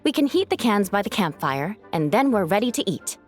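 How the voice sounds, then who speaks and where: A woman acts out a young female knight captain from a video game, composed and proud. She speaks in measured, formal phrases at a medium pitch, with a dry, wry edge. Music plays behind her voice. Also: sing-song, natural voice. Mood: matter-of-fact. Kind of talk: video game character dialogue. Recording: studio voice over game music.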